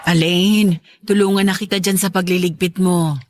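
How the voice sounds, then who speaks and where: A woman's voice: fairly low pitch, fairly even intonation, moderate pace, no echo, close to an everyday voice. A woman playing a protective, frugal, aging mother in a radio drama, chatty and affectionately stubborn. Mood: caring. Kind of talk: Filipino radio drama acting.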